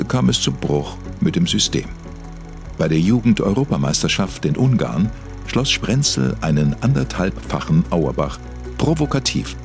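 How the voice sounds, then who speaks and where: A man reading a scripted mock-documentary biography in a measured, matter-of-fact way. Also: sits low. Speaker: documentary narrator.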